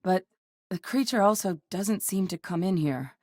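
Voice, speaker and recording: An actress playing a composed, capable female scientist in a game, speaking in a measured, matter-of-fact, dry way. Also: lowish voice, expressive, a little mellow, dry recording. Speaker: scientist working alongside the captain. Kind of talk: game character voice lines.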